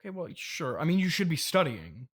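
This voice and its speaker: A flustered middle-aged dad, acted by a man, hosts a comedy podcast in a fairly high voice with animated, swinging pitch. He stumbles and restarts, forever placating and a little awkward. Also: a little fast. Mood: patient, halting. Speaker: podcast host. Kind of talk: improvised comedy interview acting.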